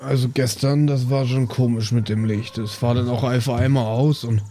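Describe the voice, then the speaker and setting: Guy playing laid-back beer drinker, dark mellow voice, blunt and slangy, slight noise behind. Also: mid-range voice, fairly even intonation, normal speed, close to an everyday voice. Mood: casual, sceptical.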